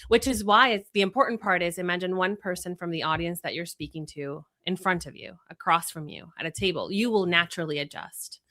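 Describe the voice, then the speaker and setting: Venezuelan-born woman, spanish first language, fairly low but really bright voice, talks things through with examples and lots of "right?". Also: brisk, few pauses. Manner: thoughtful, explains with examples and rhetorical questions. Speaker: brand strategist guest. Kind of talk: conversational podcast interview.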